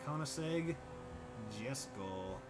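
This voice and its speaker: A man's voice: medium pitch, lively intonation, slow, over music. A young man livestreaming a driving game, chatting casually, thinking aloud and thanking viewers by name. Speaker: streamer. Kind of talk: casual livestream chat while gaming.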